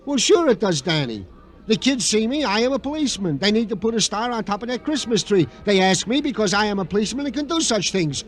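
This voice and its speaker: Flustered simple police sergeant, high pleading voice, really swoopy, old radio show. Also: male voice, sing-song, fast. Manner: stammering, pleading, naively formal wording. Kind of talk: 1950s radio drama acting.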